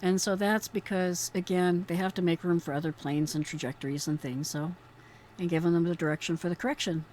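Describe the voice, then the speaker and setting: Low-pitched woman, conversational commentator. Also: steady tone, fairly quick, a little mellow, a little hiss or hum. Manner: admiring, conversational, explanatory. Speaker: host, retired emergency dispatcher. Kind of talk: informal commentary on a recorded call.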